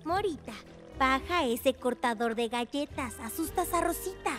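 Sensible cartoon girl, skeptical, a bit bossy, high voice, lively intonation, music behind. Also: measured pace. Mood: skeptical, calm. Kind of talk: children's cartoon dub.

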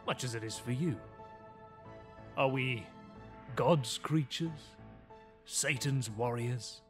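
An actor playing a cold, menacing, aristocratic male vampire in a horror audio drama, with formal, deliberate, theatrical delivery. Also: fairly high pitch. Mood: sinister, condescending. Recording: music behind the voice. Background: music.

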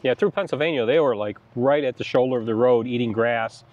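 A man's voice: mid-range voice, fairly quick, narrow, tinny audio. A middle-aged American man casually recounting a trip as a travel vlog host, speaking outdoors in the open air.

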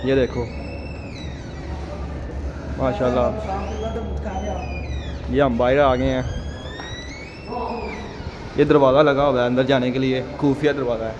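A man's voice: mid-range voice, little pitch movement, takes it slowly, pauses often. A young man with a Pakistani Punjabi accent chatting casually as a vlogger, repeating phrases for emphasis, on a handheld phone. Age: young adult. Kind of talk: casual walk-around vlog chat. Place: on the move through a mall, terrace and outdoors. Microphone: handheld phone at arm's length. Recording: dull phone audio with loud background noise.

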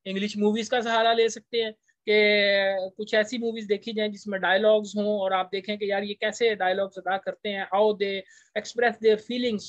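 A man giving an informal lecture as a teacher, explaining point by point and slowing down for English phrases. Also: high-pitched, no echo. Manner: explanatory, slows down when reading English phrases. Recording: dull, lo-fi close recording.

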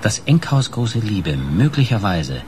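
An actor playing a sardonic, dogged investigator with a teasing, insistent manner in a German radio crime play. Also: male voice, on the low side, very animated, measured pace, a little mellow, a little hiss or hum. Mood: eager, teasing. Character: sardonic, dogged male investigator pressing for answers.

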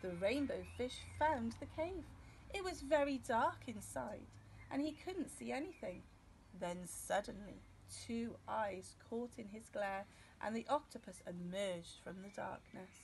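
A middle-aged woman reading a storybook aloud to young children outdoors, expressive and deliberate. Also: fairly high pitch, creaky, rough recording. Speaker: nursery early years co-ordinator reading a story. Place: outdoors in a grassy garden by a field. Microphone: camera several metres away. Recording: outdoor ambient noise.

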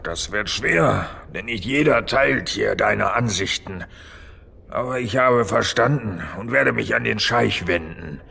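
An actor playing a grim, wearily obedient deputy of an underground community in a German fan audio drama. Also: male voice, on the low side, lively intonation, measured pace, gravelly, pushed and bright, slightly rough, full character voice. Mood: resigned, dutiful. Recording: long hall reverb, muddy clarity.

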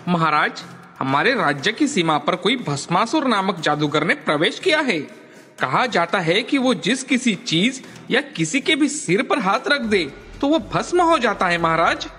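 A man voicing a deferential, alarmed royal soldier in formal animated story dialogue. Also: sits high, measured pace, full character voice. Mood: alarmed report. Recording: long hall reverb, faint music.

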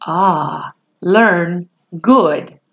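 A woman's voice: on the low side, drawn-out words, muffled recording. A woman voicing a tiny, proud, blunt warrior man from a storybook in grave, clipped phrases. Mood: approving.

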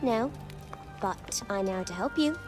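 A woman's voice: medium pitch, lively intonation, measured pace, natural voice. A female performer playing an eager, streetwise young child in a video game, speaking plainly. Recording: music behind the voice.

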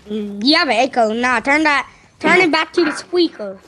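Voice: sits high, lively intonation, normal speed, pushed and bright. A gamer chatting casually with slangy callouts to teammates during play. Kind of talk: casual chat while gaming. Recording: very noisy game-chat mic.

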